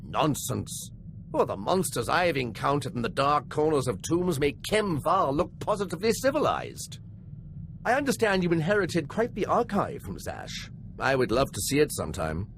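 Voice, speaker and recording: An eager, bookish archaeologist, fussy and chatty. His highish voice leaps about with scholarly enthusiasm in a video-game scene. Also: big pitch swings, normal speed, vocal fry, a bit bright, slight background noise, exaggerated voice, low-quality recording. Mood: cheerful.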